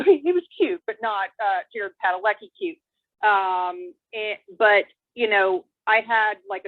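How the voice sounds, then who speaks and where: Low-voiced woman rambling on a tinny line. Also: dry recording. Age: 30s. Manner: rambling, many fillers and restarts. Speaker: viewer joining a watch-along chat. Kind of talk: casual chat. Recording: tinny phone-like dry line.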